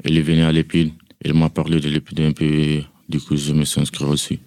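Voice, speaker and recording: A nineteen-year-old man speaks in a relaxed, plain way. His voice is very deep, dark and flat. The sound is dry, with soft music underneath. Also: brisk, no echo. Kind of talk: casual radio introduction.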